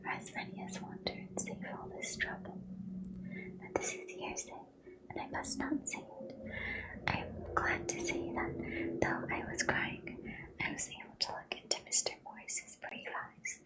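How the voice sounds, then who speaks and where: A woman reading a classic novel aloud in a steady whisper. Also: low-quality recording. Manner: whispered throughout. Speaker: reader.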